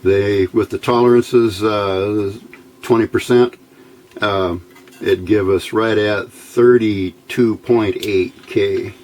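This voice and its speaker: A man's voice: fairly low pitch, fairly even intonation, slow, a little mellow. A man giving an informal hands-on electronics tutorial, thinking aloud as he works. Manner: unscripted, thinking aloud while working. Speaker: electronics hobbyist instructor.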